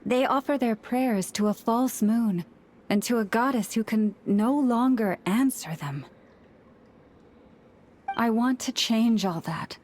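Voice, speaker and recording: A woman voicing a gentle, solemn young priestess leader in a video game, with measured, formal delivery. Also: a little high, a little hiss or hum. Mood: solemn, resolute.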